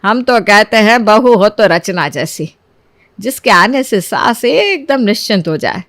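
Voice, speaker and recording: A woman's voice: mid-range voice, animated, a little slow, fairly bright tone, no echo, studio quality. A woman voicing an older, scheming mother-in-law in a cartoon moral story, lecturing and self-assured.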